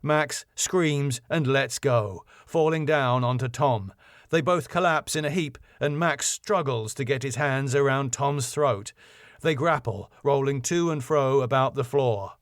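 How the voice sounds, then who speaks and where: A man reading the stage directions of his own sitcom script in a brisk, matter-of-fact way. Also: mid-range voice, no echo, studio quality.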